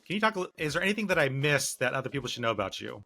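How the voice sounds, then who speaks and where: A man's voice: mid-range voice, sing-song, racing, vocal fry, pushed and bright. A man hosting a podcast interview, reading a guest introduction and asking questions in an upbeat manner. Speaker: podcast host.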